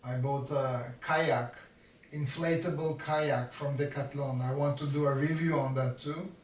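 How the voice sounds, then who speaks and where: A man in his fifties rambling in a slow, self-mocking monologue to his vlog viewers, making jokey asides to himself. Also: medium pitch, steady tone, a little hiss or hum. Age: 50s. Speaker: vlogger. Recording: roomy, from a distance.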